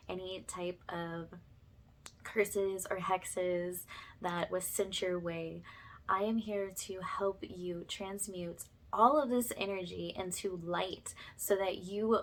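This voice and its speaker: A woman hosting a spiritual ASMR channel, speaking to her viewers in an earnest, affectionate and reassuring way. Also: mid-range voice.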